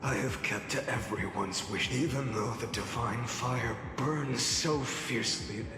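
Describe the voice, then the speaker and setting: An actor voicing a weary, resolute hero in a video game, solemn and often breathy in a dramatic delivery. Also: male voice, mid-range voice, measured pace, lightly acted. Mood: weary resolve. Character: weary, resolute male hero burdened by divine fire. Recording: echoey hall reverb with music.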